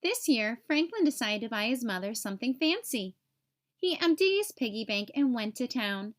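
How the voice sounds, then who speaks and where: A woman reading a picture book aloud to young children, with expressive, simple storytelling. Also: on the high side, normal speed.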